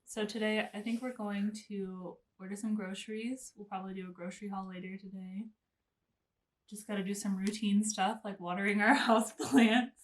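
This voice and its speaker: A woman's voice: medium pitch, steady tone, normal speed, rough recording. Chatty young woman vlogging. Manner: chatty, laughs often, trailing off. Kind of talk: casual vlog chat.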